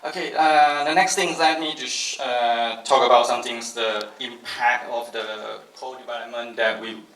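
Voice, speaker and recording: A man from Malaysia presenting his studio at a launch event, earnest and motivational, halting with many fillers. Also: medium pitch, steady tone, fairly bright tone, recorded from a distance. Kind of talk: stage presentation to an audience. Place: large event hall. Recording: echoey hall, voice from off-mic.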